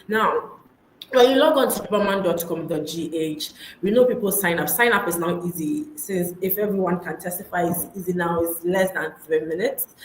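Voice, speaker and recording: A woman hosting a live online webinar, upbeat, repeating her key points and laughing easily. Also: fairly low pitch. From Ghana. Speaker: webinar host. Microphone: online call mic. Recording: echoey room, faint noise.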